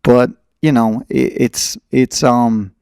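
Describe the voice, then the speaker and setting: A man's voice: medium pitch, flat delivery, slow pace, gravelly, a little mellow, clear, resonant tone, dry recording, clean studio sound. A middle-aged man talking in a casual, reflective and blunt way as he hosts a podcast conversation.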